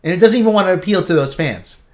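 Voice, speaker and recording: A man with a somewhat high-pitched voice rants through a TV review. He talks emphatically and repeats his points. He swings between loud outbursts and low, muttered grumbling. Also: lively intonation, quick pace. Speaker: online pop-culture commentator. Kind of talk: opinionated TV review rant.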